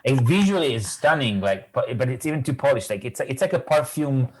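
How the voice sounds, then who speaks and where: A man from Italy with an Italian accent, a guest in a casual podcast chat, animated and often repeating words as he thinks. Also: medium pitch, brisk, husky, low-quality recording.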